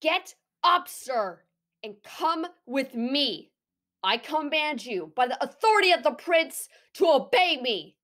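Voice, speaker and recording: An urgent officer of the watch played by a woman in a Shakespeare audio drama. The voice is high and swoops animatedly while issuing commands with authority. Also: very animated, slow, fairly bright tone, exaggerated voice. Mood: urgent, commanding.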